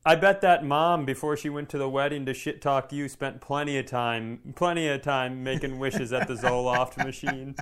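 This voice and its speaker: A man who works as a comedian, co-hosting a podcast with animated, exaggerated, sweary storytelling in casual banter. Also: mid-range voice, moderate pace, few pauses, smooth.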